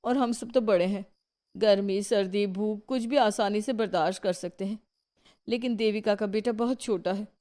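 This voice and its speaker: A woman's voice: mid-range voice, fairly even intonation, moderate pace, mellow, lightly acted. A woman playing a practical, earnest village woman of a joint household in a cartoon moral story.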